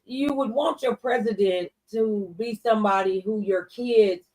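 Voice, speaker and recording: Woman, middle-aged or older, medium pitch, earnest, preacherly, conversational, slightly distant mic. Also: unhurried, a little mellow. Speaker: talk show guest. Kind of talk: conversational faith-based discussion.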